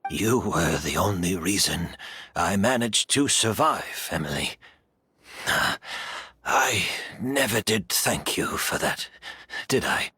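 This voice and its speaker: Game role: gentle weary master, bright tone, slow reflective delivery, formal and resigned. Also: male voice, sits low, expressive, husky, full character voice. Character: gentle, world-weary male master mentor, resigned and courteous. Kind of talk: video game voice acting.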